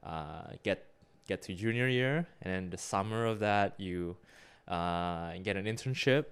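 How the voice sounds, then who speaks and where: Very low, smooth-voiced man. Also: measured pace, a bit bright. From Singapore. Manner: hesitant, many fillers and restarts. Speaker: podcast co-host. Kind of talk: casual conversational podcast.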